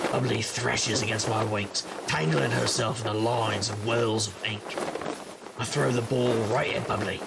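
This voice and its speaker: An actor playing a jaded, wisecracking paranormal investigator, narrating an audio drama in a wry, rambling, noir style. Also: male voice, medium pitch, very noisy. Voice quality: gravelly. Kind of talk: scripted noir-style first-person narration.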